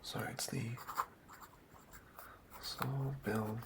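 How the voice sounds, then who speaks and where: A man's voice: mid-range voice, fairly even intonation, drawn-out words, creaky, very noisy. A man doing casual ASMR, thinking aloud as he writes and often murmuring words over again. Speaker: ASMR channel host. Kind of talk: whispered ASMR, tabletop game monster writing.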